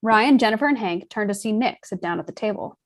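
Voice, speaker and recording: A woman's voice: medium pitch, lively intonation, fast talker. A woman reading stage directions as scripted narration for a screenplay table read.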